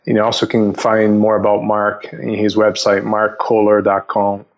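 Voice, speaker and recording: A man speaking as a podcast guest and investment counselor, explaining methodically and conversationally, often halting and repeating words. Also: fairly low pitch, completely flat delivery, highs cut off.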